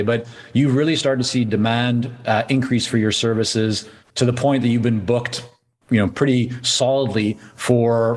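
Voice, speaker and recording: A man from Canada hosting a podcast interview, asking long, thoughtful questions in a conversational way. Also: mid-range voice. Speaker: podcast host.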